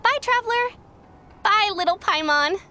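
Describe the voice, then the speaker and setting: Shy polite girl from a game, really high voice, slow and hesitant, lilting. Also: expressive, bright, forceful tone, exaggerated voice. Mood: bashful. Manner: hesitant, deferential. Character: shy, polite young girl, modest and awkward. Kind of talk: video game voice acting.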